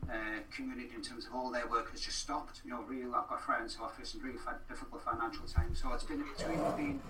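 A man's voice: medium pitch, animated, fast. A man speaking conversationally as a podcast guest, earnest and repeating phrases for emphasis. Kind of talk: conversational interview. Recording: roomy, distant mic, faint noise.

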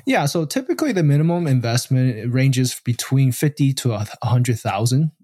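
A man from Taiwan speaks as a podcast guest in a mid-range voice. He talks casually, halting often with fillers and restarting his sentences. The sound is dry and close, with no room echo. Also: mid-range voice, expressive, mellow. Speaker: podcast guest, real estate syndicator. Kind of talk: casual interview answers.

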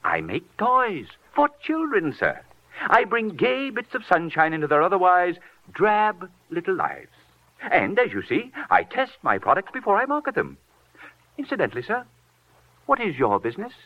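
High, swooping, pompous eccentric millionaire. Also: male voice, huge pitch swings, normal speed, heavily acted. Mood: pompous, whimsical. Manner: pompous, precise, whimsical. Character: eccentric, childish, pompous millionaire toy maker. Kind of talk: 1940s radio acting. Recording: dull vintage 1940s radio recording.

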